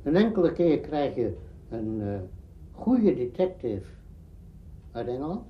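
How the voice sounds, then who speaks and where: A man giving his views in an interview, speaking deliberately phrase by phrase. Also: medium pitch, big pitch swings, slight background noise. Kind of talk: spontaneous interview commentary. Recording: dull lo-fi archive interview recording.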